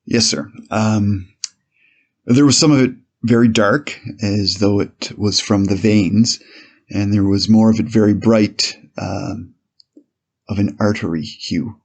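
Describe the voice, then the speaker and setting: Low-voiced, measured police witness acted by a man. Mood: measured, formal. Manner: measured, hesitant, formal answers. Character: 1890s male police officer testifying, measured, deferential witness. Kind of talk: courtroom transcript dramatized reading.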